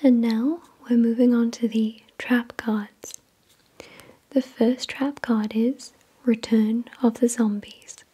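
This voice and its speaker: Slow, breathy whispering ASMR woman. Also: fairly high pitch, level tone, warm tone. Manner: slow, deliberate, measured reading. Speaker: ASMR video creator and host. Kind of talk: soft-spoken ASMR, reading card text aloud.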